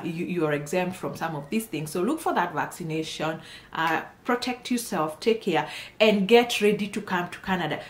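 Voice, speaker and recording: A woman speaking informally to viewers as an immigration consultant, emphatic and encouraging, repeating phrases for stress. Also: mid-range voice. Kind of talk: informal explainer addressed to viewers. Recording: roomy, echoing voice.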